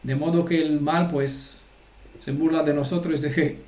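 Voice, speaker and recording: A man's voice: a little high, fairly even intonation, measured pace, darkish tone, roomy sound. A man giving an informal, earnest religious teaching and often checking that his listeners follow. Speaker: Torah teacher.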